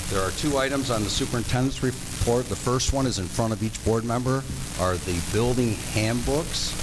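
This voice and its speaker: A lower-pitched man with a raspy voice gives a report at a board meeting. He talks loosely, with frequent ums and uhs. A steady hiss sits under the recording. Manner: relaxed, filler-laden speaking. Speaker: school superintendent. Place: meeting room.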